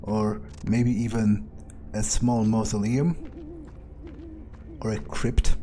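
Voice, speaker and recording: A man thinking aloud in character during a video game playthrough, halting and matter-of-fact, with casual swearing. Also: on the low side, little pitch movement, dark tone, a little hiss or hum. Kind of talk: in-character musing aloud, thinking through events.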